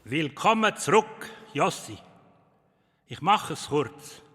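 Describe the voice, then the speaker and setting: Slow old dwarf elder in echoing hall. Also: male voice, on the high side, big pitch swings, fairly bright tone, slight background noise, full character voice. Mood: solemn welcoming. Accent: Swiss German. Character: old bearded dwarf elder, solemn and brisk. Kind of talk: children's radio play acting. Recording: long cavernous hall reverb.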